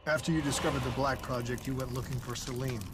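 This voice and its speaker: An actor playing a determined, earnest soldier who questions insistently in a cinematic video game. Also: male voice, mid-range voice, fairly even intonation, fast, background noise, natural voice. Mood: probing. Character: determined male soldier interrogating a dying comrade, urgent and earnest. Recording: loud music behind the voice. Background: loud cinematic music.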